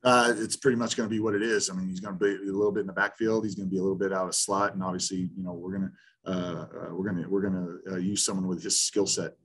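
Blunt, halting coach on a video call. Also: male voice, low voice, little pitch movement. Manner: blunt, halting, with many restarts and fillers. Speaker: head football coach. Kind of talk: post-game press conference. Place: small room in front of a sponsor backdrop.

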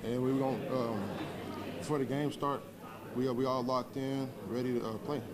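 A man's voice: mid-range voice, flat delivery, normal speed. Young man, athlete, confident, brief, crowd chatter. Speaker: high school football player.

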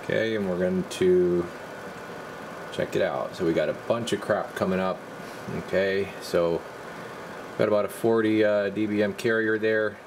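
Low-pitched gravelly man demonstrating repairs. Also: fairly even intonation, normal speed, noisy background. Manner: plain-spoken, informal, thinking aloud. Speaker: radio repair technician presenting his work. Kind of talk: casual hands-on repair demonstration.

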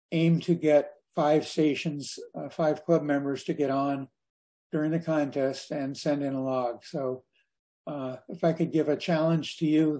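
An older man giving an informal slide talk over a video call as a guest speaker, in a halting, conversational way. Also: on the high side, mellow. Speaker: guest speaker at a radio club.

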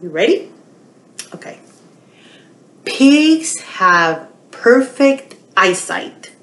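A middle-aged woman leads a children's storytime in a roomy, echoey space. Her pitch swings in a lively way and she takes her time, talking straight to the little ones. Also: extremely slow. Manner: animated, addressing little children directly. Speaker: children's librarian hosting storytime. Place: small indoor room. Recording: roomy, echoey home webcam sound.

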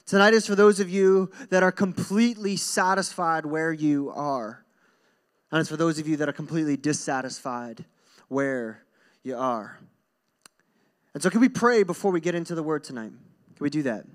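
A man's voice: on the high side, moderate pace. A young man preaching as a pastor in an informal, earnest, conversational way, repeating phrases for emphasis, speaking into a handheld microphone on stage. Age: young adult. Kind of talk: conversational sermon to a congregation. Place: stage in a church hall.

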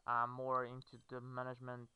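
Clear, bright-voiced Brazilian man. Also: mid-range voice, completely flat delivery, brisk, lo-fi sound. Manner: hesitant, fillers, non-native phrasing. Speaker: gamer. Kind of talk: game commentary.

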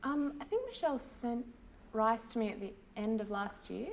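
A woman's voice: on the high side, expressive, moderate pace, vocal fry. A woman in her thirties chatting casually as a theatre director in an interview. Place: small room, seated indoors. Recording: faint background noise.

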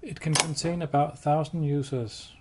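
A man giving a hands-on product demo indoors, explaining step by step in a halting, matter-of-fact way. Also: a little high, steady tone. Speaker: product demonstrator. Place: indoors, showroom with wall-mounted devices. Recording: clear background noise.

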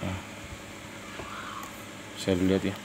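Low-voiced man, noisy recording. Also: completely flat delivery, a little mellow. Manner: halting, informal, pauses mid-phrase. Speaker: host of a DIY audio channel. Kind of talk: casual hands-on demonstration.

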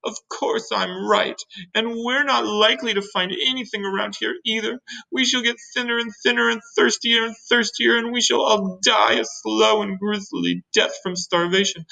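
Lady doing a whiny doom and gloom earthworm for a kids book, high and bright, super dramatic. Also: gravelly, heavily acted, low-quality recording.

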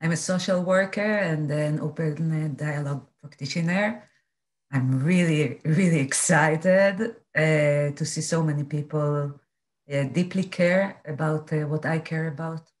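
A woman with a low voice introduces herself earnestly. She speaks over a video call. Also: a little slow. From Israel. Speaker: social worker.

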